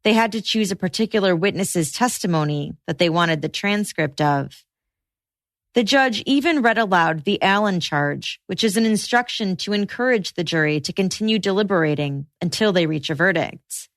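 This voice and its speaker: A woman's voice: lowish voice, animated, moderate pace, fairly bright tone, no echo. Woman narrating true crime, dry close sound. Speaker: podcast host and narrator.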